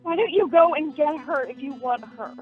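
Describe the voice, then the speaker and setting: A woman's voice: high-pitched, moderate pace, close to an everyday voice. A woman acting an earnest, insistent young fiancée in a radio play, recorded in muffled audio. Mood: concerned, pressing. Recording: muffled lo-fi audio with music behind.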